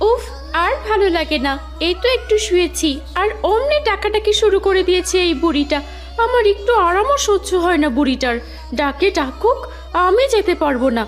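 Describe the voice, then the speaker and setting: A woman's voice: very high-pitched, lively intonation, fairly quick, faint music behind. A woman voicing a lazy, grumbling young daughter-in-law in a family cartoon, whining with irritation. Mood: irritated, whiny. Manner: whiny, irritated complaining. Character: lazy, grumbling young middle daughter-in-law who hates being disturbed. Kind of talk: Bengali family cartoon voice acting.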